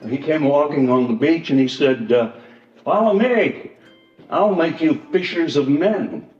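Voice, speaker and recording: A man playing an earnest, wounded fisherman disciple in a church Passion play, telling his story haltingly and emotionally. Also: fairly high pitch, lightly acted. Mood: wistful reminiscence. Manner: halting, emotional storytelling with swells and hushed drops. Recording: echoey church hall, dull sound, music.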